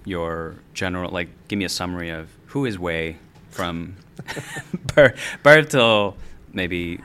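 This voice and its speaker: Deep-voiced host, casual and affirming. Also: male voice, takes it slowly, fairly bright tone, clear, resonant tone. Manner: affirming, many yeahs and fillers. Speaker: podcast host. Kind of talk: casual interview questions.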